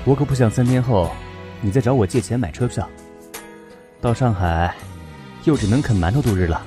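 A man acting a composed, considerate young teacher in a Chinese radio drama, speaking in a measured, understated way. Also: medium pitch, lightly acted. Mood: matter-of-fact. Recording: music behind the voice.

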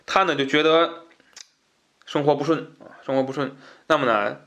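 A man's voice: fairly high pitch, normal speed, vocal fry, fairly bright tone. A man hosting a podcast, explaining a book in an informal, conversational way, with asides and repeated phrases for emphasis. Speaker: podcast host.

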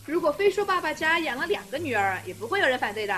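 A woman's voice: sits high, very animated, fast, fairly bright tone. A woman acting a naive, cheeky teenage little sister in an audio drama, wheedling with earnest logic. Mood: playful. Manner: wheedling, earnest absurd reasoning. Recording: music playing behind.

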